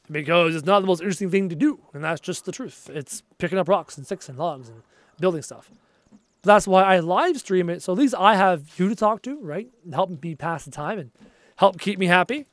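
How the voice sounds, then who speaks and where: A man with a high voice chats casually through a live game stream, talking loosely and getting excited over viewer messages. His voice is wearing out and his energy is flagging. The sound is dry and close, at a home desk. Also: fairly quick, no echo. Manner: chatty, reads out viewer messages, easily excited. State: losing his voice, low on energy.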